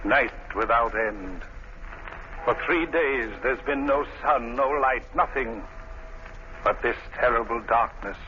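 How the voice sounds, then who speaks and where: Slow, solemn doomsday preacher. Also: male voice, mid-range voice, bright, forceful tone. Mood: doom-laden. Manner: slow, drawn-out intoning. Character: doom-crying preacher, solemn and fanatical. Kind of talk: 1940s radio acting. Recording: dull, hissy old radio recording.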